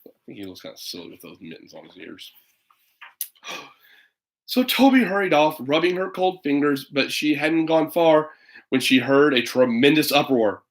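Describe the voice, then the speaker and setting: A man reading a children's picture book aloud in an animated, dramatic way, with chatty asides to a young listener. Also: fairly high pitch, moderate pace, rough recording. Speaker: storybook reader.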